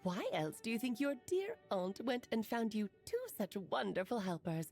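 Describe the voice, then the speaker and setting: A woman's voice: mid-range voice, very animated, normal speed, a little mellow, soft background music. A woman playing a playful, teasing witch aunt in an anime game, with whimsical, theatrical airy confidence. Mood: teasing, amused.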